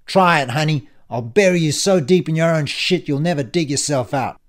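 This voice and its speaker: Guy doing a nasty sneering bully for an audiobook, menacing, bit high pitched, spits out his threats. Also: normal speed, natural voice. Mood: threatening. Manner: sneering, hissed threats, loud contemptuous bursts. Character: menacing, sneering, foul-mouthed male bully, contemptuous and vindictive. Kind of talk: audiobook character dialogue.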